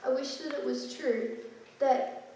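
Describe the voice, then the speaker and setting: A woman playing a grieving, guilt-ridden mother who speaks haltingly and pleads, recorded from far off on an echoey stage. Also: sits high, warm tone, a little hiss or hum.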